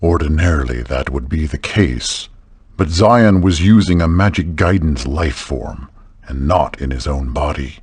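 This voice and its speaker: A man's voice: rumbling low, animated, unhurried, darkish tone, slightly rough, no echo, heavily acted. A man voicing a grave, wise old lord in a fantasy game, speaking in a solemn, formal manner.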